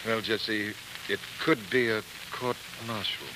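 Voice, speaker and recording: Man playing a stern older senator dad, measured and paternal, 1940s radio play, lots of hiss. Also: lowish voice, fairly even intonation, close to an everyday voice. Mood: grave. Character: protective, grave senator father, older man. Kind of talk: 1940s radio drama acting. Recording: hissy, noisy 1940s radio recording.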